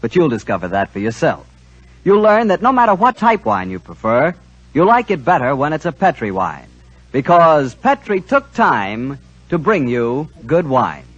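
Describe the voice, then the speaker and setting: A man's voice: fairly high pitch, very animated, normal speed, slightly rough, no room sound. A man with an American accent working as a 1940s radio announcer, polished and salesmanlike. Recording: dull, lo-fi 1940s radio recording.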